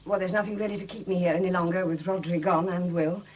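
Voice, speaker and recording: An actress playing a proud, bitter, self-reliant young widow with a husky voice and a brittle, wry manner in a 1970s radio drama. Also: female voice, on the low side, fairly even intonation, fast talker, a little mellow, slight background noise, lightly acted. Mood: flustered, brisk.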